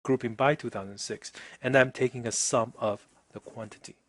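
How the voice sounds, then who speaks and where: A man teaching a casual software demonstration, informal and chatty, often checking in with the listener, with a husky voice. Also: medium pitch, fairly even intonation, normal speed, no echo. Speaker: instructor.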